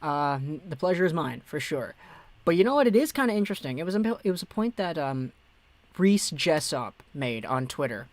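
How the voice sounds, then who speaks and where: A man's voice: on the high side, very animated, normal speed, dry recording. A young man hosting a casual hockey live stream, chatting and answering viewer messages as they come in. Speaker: hockey livestream host.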